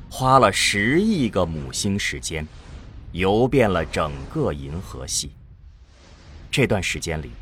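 A man narrating a science fiction audio drama in a theatrical, solemn way, with deliberate pauses. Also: fairly low pitch.

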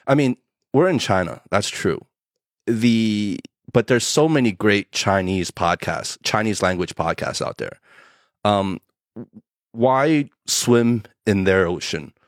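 A man co-hosting a podcast, talking in a measured, hesitant way with pauses, weighing both sides of a question. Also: lowish voice, animated, no room sound, studio quality. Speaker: podcast co-host. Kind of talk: casual bilingual chat.

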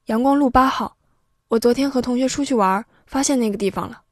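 A woman's voice: a little high, brisk, no room sound, studio quality, natural voice. A woman playing a curious young student who is eager about ghost stories in an audio drama. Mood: earnest.

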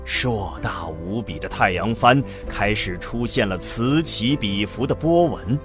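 A man's voice: fairly low pitch, lively intonation, a little slow. A man narrating a science fiction radio drama in a dramatic scripted style. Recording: music under the narration.

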